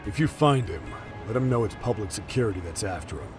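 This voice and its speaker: A man playing a guarded, gruff informant who speaks tersely in a video game dub. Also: on the low side, animated, fast, over music, lightly acted. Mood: guarded, serious. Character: guarded, gruff male informant leaking police secrets. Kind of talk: dramatic video game voice acting.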